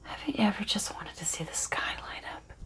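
Woman acting a hushed, intense teenage girl. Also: natural voice. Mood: hushed, yearning. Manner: hushed, breathy half-whisper, slow and conspiratorial. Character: intense teenage girl entranced by magic and storms. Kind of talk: dramatic stage monologue.

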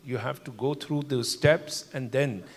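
An older man, a cardiologist on a conference panel, explaining cases in a measured way with many fillers. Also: mid-range voice. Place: large conference hall. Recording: long hall reverb.

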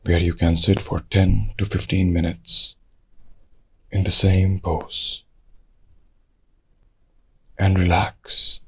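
A man leading a guided meditation, giving calm, measured instructions in short phrases with long pauses. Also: sits low, breathy edge.

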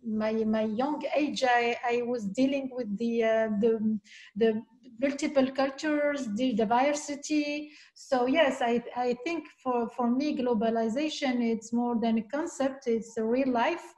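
Woman, middle-aged, slow and halting, slightly high voice, video call audio. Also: dark tone, poor audio. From Algeria. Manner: hesitant, many restarts and fillers. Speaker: guest artist. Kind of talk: video call panel talk. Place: home room.

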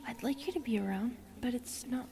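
A woman voicing a shy, lonely, gentle young forest spirit in soft, hesitant whispers, as ASMR roleplay. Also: a little high, brisk, slight background noise, natural voice. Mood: tender, wistful.

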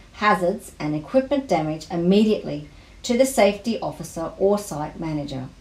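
Woman reading out safety slides one rule at a time, slightly low voice, echoey room. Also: darkish tone. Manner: measured, list-by-list reading delivery. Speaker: volunteer safety induction presenter. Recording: roomy, echoey voice-over.

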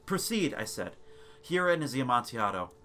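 A man reading a gothic tale as a theatrical, deliberate dramatic narration. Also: medium pitch, big pitch swings, sharp tone, soft background music. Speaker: narrator of a gothic short story. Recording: noisy ambience sound bed. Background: background ambience and sound effects.

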